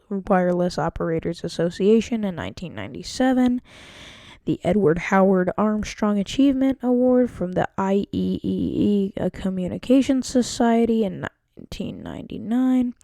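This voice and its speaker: A woman's voice: medium pitch, warm tone, dry recording. A woman student presenter reading scripted documentary narration, occasionally stumbling over a word.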